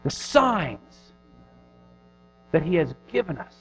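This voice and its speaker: A man in his thirties preaching a sermon in an earnest, conversational way, pushing hard on some phrases and dropping to a hush on others. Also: a little high, sing-song, slow. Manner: earnest, conversational preaching with rising and falling emphasis. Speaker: pastor. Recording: dull lo-fi recording with faint music.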